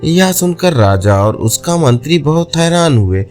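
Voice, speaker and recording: A man tells a folk tale in a scripted storytelling style. His voice sits a little high and moves with very lively intonation at a slow, measured pace. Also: faint music behind. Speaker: storyteller, narrator.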